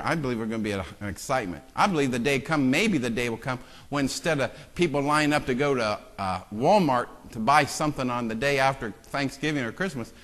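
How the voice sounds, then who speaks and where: A man preaching a sermon as pastor, swinging from hushed, joking asides to loud, impassioned exclamations. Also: medium pitch. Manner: self-deprecating joking with the congregation. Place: church sanctuary. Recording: long church-hall reverb.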